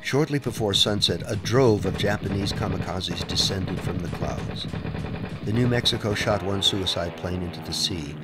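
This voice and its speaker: A man's voice: sits low, normal speed. A man reading scripted historical narration as a documentary narrator. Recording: music bed under the voice. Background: music.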